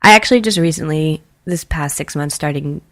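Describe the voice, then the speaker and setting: A woman's voice: low-pitched, a little fast, fairly bright tone, no room sound, studio quality. A woman from Israel chatting casually and playfully as an interview guest, informal and a little self-deprecating. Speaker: interview guest, jazz bassist. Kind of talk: casual chat.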